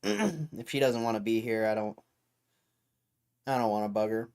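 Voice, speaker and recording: Hoarse young man chatting on stream. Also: fairly low pitch, expressive, normal speed, a little mellow. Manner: loose, rambling, talks to chat, keeps clearing his throat. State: hoarse, losing his voice. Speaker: livestream host. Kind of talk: casual livestream chat.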